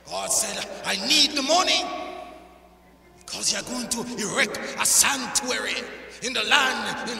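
Male preacher, middle-aged, very piercing and intense delivery, deliberate pace, impassioned sermon, echoey sanctuary. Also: sits high, gravelly, sharp tone. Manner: emphatic, dramatic pauses and repetition. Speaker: pastor preaching. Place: large church sanctuary, indoors. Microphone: pulpit microphone on a stand. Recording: echoey church hall, long reverb.

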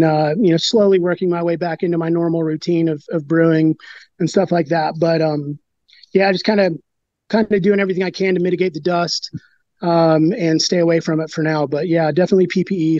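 A man in his thirties explains things in a casual, halting way, full of fillers and restarts. His voice sits fairly high for a man. The sound is dry and a bit dull, like a webcam call. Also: fairly even intonation, a little mellow, no room sound. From United States. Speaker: brewer, interview guest. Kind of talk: casual interview talk.

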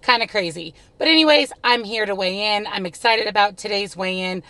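A woman's voice: medium pitch, fairly quick, bright, forceful tone, dry recording. A woman in her thirties, her voice slightly scratchy, chatting upbeat to viewers from inside a parked car, filmed with a phone held at arm's length. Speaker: vlogger. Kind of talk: casual vlog talk to camera. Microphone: phone camera at arm's length.

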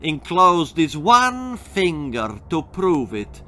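A man voicing a menacing Italian gangster posing as a pizza delivery man in a storybook, gruff and barking threats. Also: a little high, very animated, slow pace, sharp tone, pitched above the performer's own voice, heavily acted. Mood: threatening.

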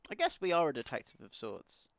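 A woman hosting a let's play, chatting casually about the game with wry, deadpan jokes. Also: sits low, brisk, dry recording. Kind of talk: casual gameplay commentary.

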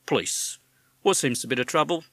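A man's voice: mid-range voice, expressive, normal speed, fairly bright tone, no room sound, studio quality, pitched above the performer's own voice. A man doing a prim, pompous police officer. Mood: formal. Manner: pompous, formal.

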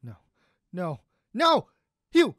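In a dry recording, a heartbroken young adult speaks in a medium-pitched voice, earnest and halting. It is an actor's performance for an audio drama. The delivery is hesitant and lovesick. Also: huge pitch swings, fairly bright tone, no echo, exaggerated voice. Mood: anguished.

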